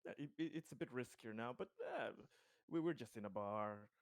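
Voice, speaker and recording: Halting man with a clean, smooth voice. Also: medium pitch, no echo, clean studio sound. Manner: halting, repeating words as he plans. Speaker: tabletop game player. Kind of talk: casual tabletop game discussion.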